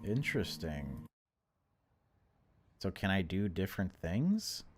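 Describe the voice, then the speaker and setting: Low voiced guy doing a visual novel playthrough, game music clearly behind him. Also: sing-song, slow. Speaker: gaming video host. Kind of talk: dramatic narration of game text, then casual commentary.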